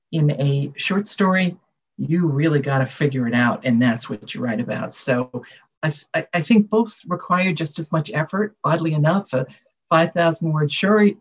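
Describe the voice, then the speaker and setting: An older American woman with a husky voice, answering interview questions with reflective storytelling. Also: low-pitched. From Massachusetts, United States. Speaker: guest author. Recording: dull, muffled lo-fi audio.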